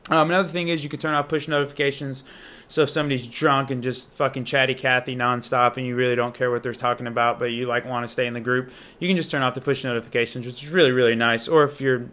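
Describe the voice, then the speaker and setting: Bright, punchy young American man. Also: medium pitch, level tone, fast talker. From Indiana, United States. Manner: informal, slangy, enthusiastic. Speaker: app reviewer and demonstrator. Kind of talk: casual unscripted app walkthrough.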